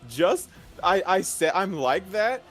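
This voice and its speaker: A man's voice: high-pitched, animated, slow pace, faint music behind. A man guesting on a livestream, chatting casually in a laid-back, self-deprecating way. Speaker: guest content creator. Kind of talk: casual chat.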